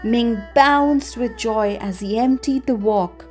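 A woman with a fairly high voice reads a children's story aloud. Her phrasing is expressive and deliberate, and music plays behind her. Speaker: storybook reader. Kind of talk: scripted children's story narration.

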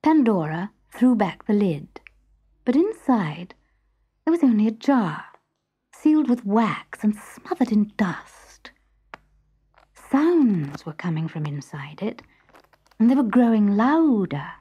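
A woman reading a scripted children's story in an expressive, deliberate manner, hushed at times. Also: medium pitch, husky, lo-fi sound. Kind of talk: scripted dramatic story narration.

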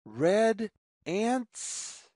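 A woman reads a picture book aloud for young children at an extremely slow pace. Her pitch swings widely in a sing-song pattern, with deliberate pauses between phrases. The recording is dry and close, with little sense of a room. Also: big pitch swings, mellow, dry recording. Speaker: storyteller reading aloud to children.

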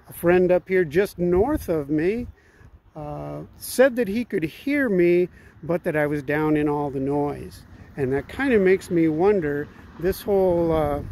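A man's voice: fairly high pitch, a little mellow. A man, chatting casually and folksily to camera as he explains his radio hobby outdoors. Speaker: ham radio hobbyist vlogger. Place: outdoors in a residential front yard. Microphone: phone at arm's length. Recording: outdoor background noise.

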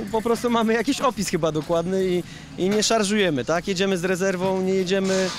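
A man's voice: sits high, steady tone, a little fast, slight background noise. A rally driver in his thirties giving an upbeat, rambling interview outdoors at a service park, speaking into a handheld mic. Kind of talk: post-stage interview. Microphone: handheld reporter mic.

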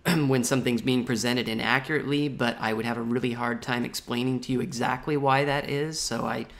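A man's voice: medium pitch, fairly even intonation, fairly quick, a bit bright. A man in his thirties hosting a podcast on a video call, asking questions hesitantly and with self-deprecation. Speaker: podcast host. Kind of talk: casual interview. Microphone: earbud headset mic on a video call.

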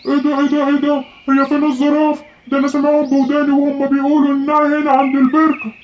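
Someone doing high silly egyptian monkey for kids cartoon, eager, repeats stuff, muffled sound. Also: racing, natural voice. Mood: baffled. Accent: Egyptian Arabic. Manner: fawning, repetitive, excitable. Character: foolish, excitable monkey spy, eager to please boss. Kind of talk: children's animated animal fable. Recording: muffled, noisy home recording.

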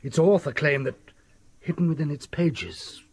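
Husky, haunted country doctor. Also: male voice, on the high side, measured pace, darkish tone. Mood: grave, ominous. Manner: urgent, ominous, formal. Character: desperate, frightened country doctor obsessed with the occult. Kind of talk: radio drama acting.

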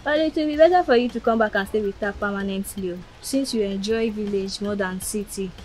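A woman's voice: medium pitch, brisk, a little mellow, soft background music, close to an everyday voice, low-quality recording. An actress playing a breezy young Nigerian city girl teasing her friend.

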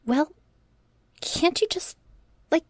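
A woman's voice: slow pace, no room sound, natural voice. A woman playing a vampire's young servant, anxious and loyal, in a casual, questioning audio roleplay. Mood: worried. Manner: hesitant, colloquial, questioning.

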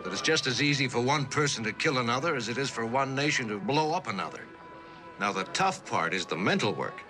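An actor playing a cold, philosophical man in a mid-century suspense drama, arguing in a measured way. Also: medium pitch, expressive, music in the background, close to an everyday voice. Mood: cool, deliberate.

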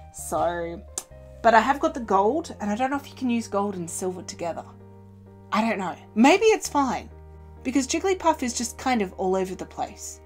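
Young woman, upbeat casual chat, fillers and pitch jumps, fairly high voice, faint background music. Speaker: cosplay vlogger. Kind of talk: casual show-and-tell chat. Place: small indoor sewing room with fabric shelves. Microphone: camera in front at desk distance.

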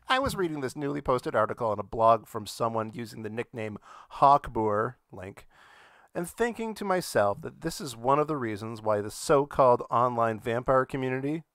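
A man's voice: medium pitch, lively intonation, normal speed, a bit bright, dry recording, clean studio sound. A man reading aloud on a casual live comedy podcast, dropping in wry asides. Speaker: podcast panelist and reader.

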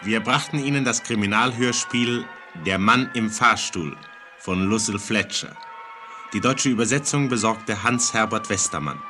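A man's voice: fairly low pitch, moderate pace, over music. A man announcing radio play credits in a 1940s style on an old recording.

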